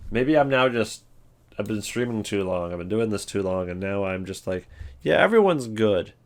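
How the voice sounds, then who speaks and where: A man's voice: fairly low pitch, expressive, normal speed. A man giving casual, off-the-cuff ranking commentary, rambling and jokey in a self-deprecating way. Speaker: video creator ranking game voice lines.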